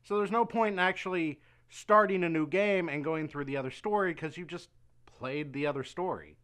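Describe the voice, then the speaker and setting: A man reviewing a game with deadpan sarcasm and stammering restarts. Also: on the high side, moderate pace, smooth.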